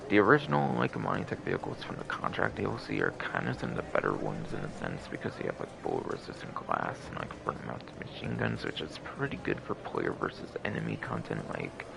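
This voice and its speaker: A man's voice: low voice, gravelly, noisy background. A man hosting a game livestream with casual, rambling, repetitive commentary.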